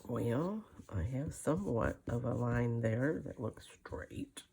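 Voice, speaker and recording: A woman's voice: extremely low pitch, lively intonation, slow, creaky, warm tone, slight background noise. An older woman giving a casual hand-stitching tutorial, thinking aloud in a folksy, rambling, muttering way. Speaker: craft video host.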